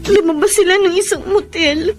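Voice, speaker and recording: A woman's voice: very high voice, normal speed. A woman playing a betrayed, heartbroken young woman in an emotional Filipino radio drama, with a Filipino accent. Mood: dejected, tearful. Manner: emotional, melodramatic. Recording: music bed under the voice.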